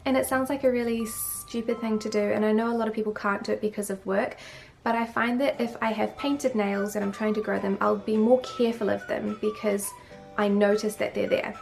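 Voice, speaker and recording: A woman's voice: medium pitch, fairly even intonation, brisk, gravelly, a little mellow, soft background music. A young woman from New Zealand with a New Zealand accent, chatty and matter-of-fact, answering viewer questions to camera as a beauty vlogger. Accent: New Zealand, a few English-sounding words. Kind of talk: casual Q&A to camera.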